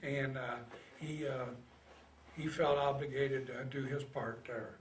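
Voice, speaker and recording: A man of about eighty from Indiana with a Midwestern American accent, recalling his past in a halting, folksy way as an interviewed Navy veteran. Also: medium pitch, steady tone. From Indiana, United States. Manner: halting, folksy plain speech. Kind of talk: casual oral history recollection. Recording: roomy, distant mic, faint noise.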